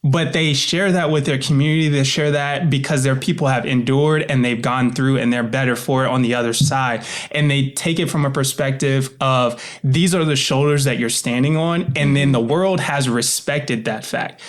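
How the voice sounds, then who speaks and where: A man's voice: medium pitch, steady tone, normal speed, hardly any pauses. A man speaking as an interview guest in an earnest, reflective way, often voicing hypothetical quotes as he explains himself. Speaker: interview guest, author and voice actor.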